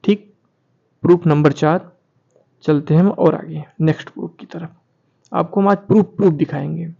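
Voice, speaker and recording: A man's voice: on the high side, moderate pace, creaky, warm tone, slightly rough. A man hosting an informal online explainer, reading documents aloud and repeating key phrases as he addresses viewers directly, on a muffled recording. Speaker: YouTube channel host presenting documents. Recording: dull, muffled lo-fi sound.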